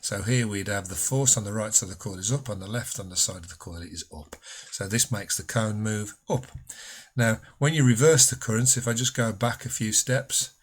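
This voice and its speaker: A man's voice: on the low side, fairly quick. A man speaking as a physics teacher, explaining a school lesson patiently and informally, step by step.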